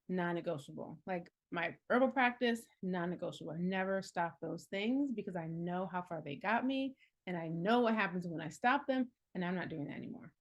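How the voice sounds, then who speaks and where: Earnest lower-voiced woman in her forties. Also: creaky. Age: 40s. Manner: earnest and encouraging, laughs often, many "like" fillers. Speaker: herbalist and healing coach. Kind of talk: casual reflective talk.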